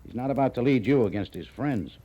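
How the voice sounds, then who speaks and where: An actor playing a brisk, skeptical cavalry major in a 1950s radio western, with an officious, dismissive manner. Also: male voice, mid-range voice, lively intonation, lo-fi sound, natural voice. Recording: old radio recording with background noise.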